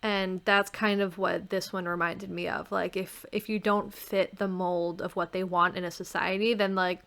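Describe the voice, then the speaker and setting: A woman who directs plays, chatting informally on a podcast panel, thinking aloud in halting phrases. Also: on the low side, level tone, gravelly, fairly bright tone. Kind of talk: casual chat.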